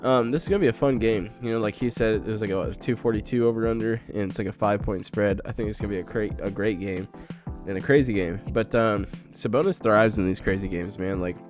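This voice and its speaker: Low-voiced man with a cold-worn voice. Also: quick pace, a little mellow, faint music behind. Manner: laid-back, says "man" and "like" often. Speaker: sports betting podcast co-host. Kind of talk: casual sports betting picks talk.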